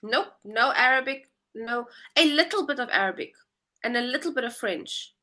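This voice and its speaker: A woman's voice: on the high side, expressive, normal speed, bright, forceful tone. A woman in her twenties with a South African accent, chatting as an English tutor on a video call and explaining things patiently. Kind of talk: casual video-call conversation.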